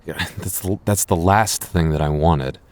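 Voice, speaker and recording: A man's voice: sits low, measured pace. A man playing a jealous, apologetic boyfriend in an audio roleplay, speaking earnestly with hesitant stammers. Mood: earnest, subdued. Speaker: voice actor.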